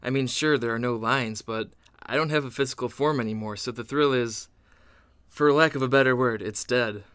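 Bright, sarcastic, exasperated ghost acted by a man. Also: mid-range voice, normal speed, smooth, no room sound, lightly acted. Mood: dry, bored. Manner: grumbling, sardonic, self-coaching, flustered. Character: fussy, insecure male amusement-park ghost, sarcastic and exasperated. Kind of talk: comedic radio theatre monologue.

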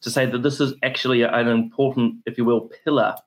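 A man's voice: medium pitch, level tone, a little fast. A man with a New Zealand accent hosting an interview, introducing his guest with effusive enthusiasm. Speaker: podcast host.

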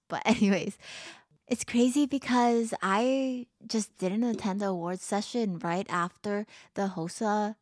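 A woman's voice: medium pitch, darkish tone, dry recording, clean studio sound. A teenage girl hosting her own podcast, chatting casually and upbeat with lots of filler words, her voice a little tired. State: a bit tired, voice lower than usual. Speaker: podcast host. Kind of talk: casual solo podcast chat.

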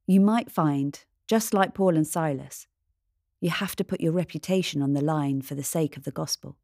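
A woman reading a scripted devotional in a measured, reflective manner. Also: low voice, warm tone, dry recording, clean studio sound. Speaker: devotional reader.

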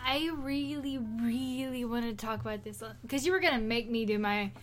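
A woman's voice: fairly high pitch, moderate pace, faint music behind. A woman co-hosting a podcast, chatting casually and retelling stories in an informal, rambling, conversational way. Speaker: podcast co-host.

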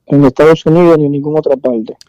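A man's voice: on the high side, fairly even intonation, quick pace, dark tone, no echo. A man answering questions on a phone call, earnest and hesitant, repeating phrases. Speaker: prank call victim.

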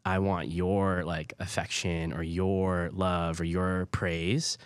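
Really low-voiced young guy, candid podcast chat, lots of "like", dry mic. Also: little pitch movement, takes it slowly, clear, resonant tone, no echo. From Hawaii. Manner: candid, filler-heavy storytelling with frequent "like". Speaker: podcast co-host. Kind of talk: casual chat.

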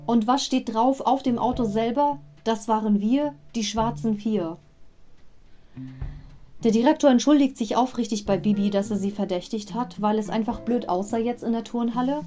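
A woman's voice: a little high, steady tone, fairly quick, few pauses, dark tone, faint music behind. A woman hosting a podcast, casually retelling a story with chatty, digressive commentary. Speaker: podcast host.

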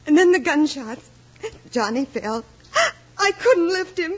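A woman's voice: sits high, animated, unhurried. A woman playing a distraught, crying rancher's daughter in a 1950s radio western. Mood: terrified, sobbing. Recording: dull old radio recording.

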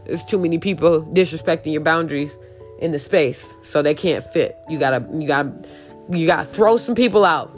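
A woman podcast host with a raspy voice, talking casually and emphatically, repeating key points. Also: low voice, brisk, soft background music. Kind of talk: casual solo podcast talk.

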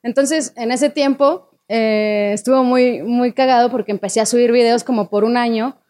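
A young woman with a Mexican accent talks through her story as an interview guest. Her voice sits a little high, and she keeps a loose, chatty flow padded with fillers and tag questions. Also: steady tone, normal speed, darkish tone. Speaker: singer and online creator, guest. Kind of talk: casual interview chat.

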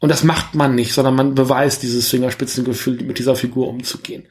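A man's voice: medium pitch, little pitch movement, fast talker. A man in a casual film review discussion as podcast co-host, making his points emphatically and repeating them.

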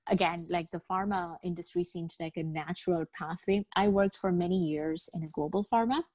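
Middle-aged woman from Bangladesh, raised in the UAE, lowish voice, dry close sound, thoughtful guest who restarts often. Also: fairly even intonation, normal speed, darkish tone, dry recording. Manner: thoughtful, with many fillers and repeated words. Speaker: guest, business professional. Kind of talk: podcast interview.

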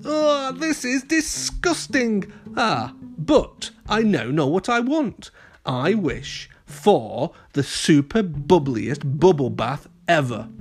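Young male cartoon pup, eager and excitable, high and swooping, music behind man performing. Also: slow pace, husky, heavily acted. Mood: disgusted, wishing.

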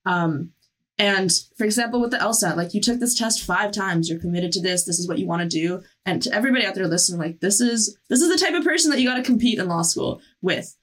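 A woman's voice: medium pitch, fast talker. A woman hosting an interview, talking in a casual, encouraging and affirming way. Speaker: podcast host interviewing applicants.

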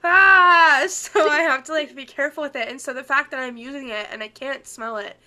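A woman's voice: high-pitched, fairly quick, sharp tone. A young woman co-hosting a casual podcast chat, her voice stuffy and congested from illness, talking in a chatty way with lots of laughs and ums. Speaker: podcast co-host.

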